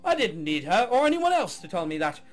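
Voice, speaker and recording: A man's voice: high-pitched, very animated, fairly quick, faint music behind. A man doing a let's play of a visual novel, narrating and throwing in sarcastic, mock-exasperated asides. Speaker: gamer reading and commenting on a visual novel.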